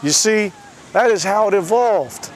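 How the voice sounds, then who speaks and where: A man with a high-pitched voice narrates a satirical tale. He explains things with mock innocence and sarcasm. There is faint background noise, as if recorded outdoors. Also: expressive, unhurried.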